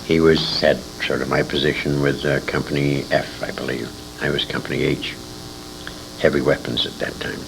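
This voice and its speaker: An American man in his sixties reminiscing as an interview guest, telling anecdotes in an animated way with emphatic repetition. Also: a bass voice, normal speed, gravelly. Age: 60s. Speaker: interview guest, old-time radio veteran. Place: radio convention, live interview. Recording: noisy amateur convention interview tape.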